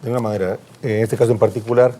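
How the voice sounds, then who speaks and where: An actor playing an evasive police director who answers formally and hesitantly in a television interview. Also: male voice, lowish voice, fairly even intonation, racing, mellow, slight background noise, natural voice. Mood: evasive, defensive.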